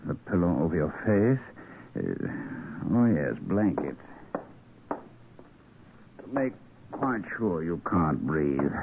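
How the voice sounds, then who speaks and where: An actor playing a menacing, mock-polite, cruel admiral in an old-time radio drama. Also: male voice, extremely low pitch, creaky, full character voice. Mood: gloating, cruel. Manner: deliberate, mock-polite, threatening. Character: menacing, suavely cruel male German admiral. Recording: muffled, noisy vintage radio recording.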